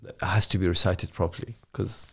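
A man interviewing a guest in casual conversation, talking haltingly with many restarts and fillers. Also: low voice, steady tone, vocal fry, breathy edge.

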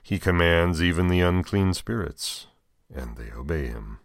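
American male, deep register, dry recording. Deacon hosting scripture readings with informal, deliberately phrased commentary. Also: expressive, dry recording. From United States.

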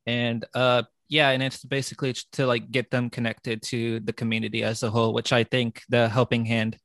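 A man's voice: mid-range voice, flat delivery, fairly quick, fairly bright tone, dry recording. A man hosting a podcast interview, talking casually with lots of fillers and frequent laughs. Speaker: podcast host.

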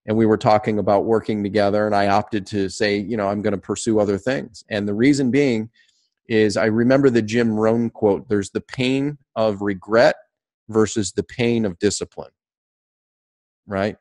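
A man's voice: lowish voice, steady tone, normal speed, darkish tone. A man in his late forties speaking as an interview guest, reflecting conversationally on his own experience. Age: late 40s.

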